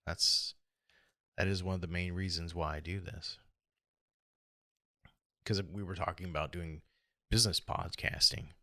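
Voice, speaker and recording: A middle-aged American man with a hoarse, gravelly voice, talking alone in a reflective, halting and rambling way as a casual podcast host. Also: deep voice, steady tone, sharp tone, dry recording, clean studio sound. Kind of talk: casual solo podcast monologue.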